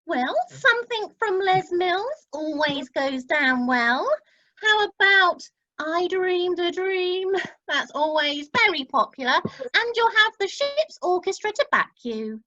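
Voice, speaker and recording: A woman's voice: extremely high pitch, sing-song, slow, sharp tone, heavily acted. A woman playing a bubbly, gushing cruise ship entertainment organiser in an amateur radio comedy. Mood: encouraging. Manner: encouraging, effusive, chirpy. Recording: dull online meeting audio.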